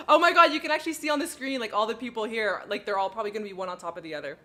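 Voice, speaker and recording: Bright-voiced chatty French Canadian woman. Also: fairly high pitch, fast talker. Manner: chatty, giggly, explains her cockpit steps aloud. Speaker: flight sim livestream host. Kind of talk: casual livestream chat while flying.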